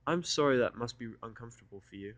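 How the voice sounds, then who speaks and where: A man's voice: medium pitch, animated, fast talker, dry recording, close to an everyday voice. A man voicing a bookish young female pony, persistent and polite, in an earnest, coaxing manner. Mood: quiet concern.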